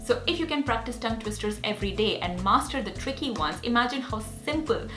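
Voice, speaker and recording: A woman's voice: on the high side, expressive, brisk. A woman whose first language is Hindi, speaking English with a Hindi accent as she teaches speech exercises in an upbeat, earnest way. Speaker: actor and speech coach. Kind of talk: casual teaching vlog. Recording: echoey room with faint music.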